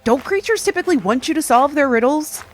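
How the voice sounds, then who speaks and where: A woman's voice: high voice, expressive, quick pace, soft background music. A woman playing a sarcastic, slangy young member of a gang of friends in an audio drama. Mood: incredulous, amused.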